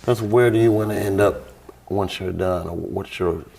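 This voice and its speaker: A man's voice: sits low, moderate pace, vocal fry, darkish tone, slightly rough. A man hosting a casual interview show, friendly and easygoing, often calling his guest "man". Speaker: show host.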